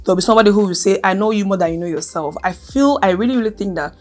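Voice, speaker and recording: A woman's voice: mid-range voice, very fast, soft background music. A young adult woman vlogging casually to camera, earnest and emphatic, who speaks with a stutter and repeats her key phrases.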